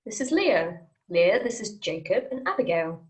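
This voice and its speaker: A woman's voice: mid-range voice, big pitch swings, moderate pace, close to an everyday voice. Matter-of-fact woman welcoming newcomers to heaven, read by an actress. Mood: reassuring. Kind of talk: script table read.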